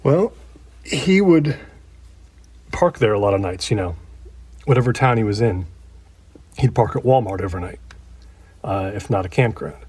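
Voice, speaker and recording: An actor playing an ordinary man recounting a friend's haunting in a naturalistic documentary interview, halting and conversational, in short phrases with pauses. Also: fairly low pitch, animated, darkish tone, husky, no echo. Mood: hushed, reflective. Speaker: interview subject in an audio drama.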